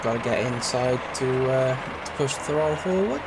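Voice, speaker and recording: A young man with a slightly raspy, hoarse voice from a cold, chatting loosely with lots of fillers while playing a train simulator. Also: medium pitch, expressive, normal speed. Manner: rambling, chatty, with frequent fillers. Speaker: gaming channel host. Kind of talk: casual commentary over a train simulator. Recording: loud game train noise behind.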